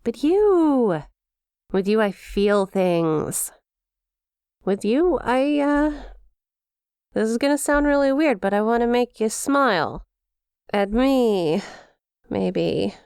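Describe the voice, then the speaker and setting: A woman's voice: on the high side, animated, takes it slowly, long pauses, darkish tone, clear, resonant tone, no echo, clean studio sound. A woman voicing a centuries-old demon imp in an ASMR roleplay, haughty and mock-formal yet awkwardly lovestruck, slipping into hesitant casual speech. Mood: tender, awkward. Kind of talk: ASMR character roleplay addressed to listener.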